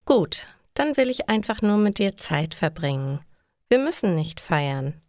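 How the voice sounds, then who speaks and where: A woman's voice: lowish voice, very animated, measured pace, darkish tone, no room sound, clean studio sound. A woman voicing an affectionate, cheerful wife in a storybook, coaxing and carefully enunciated.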